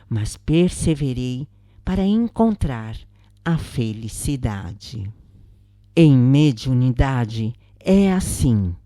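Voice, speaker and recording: Very low-pitched Brazilian woman narrator. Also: dark tone, dry recording, clean studio sound. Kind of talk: scripted narration of a first-person spiritist account.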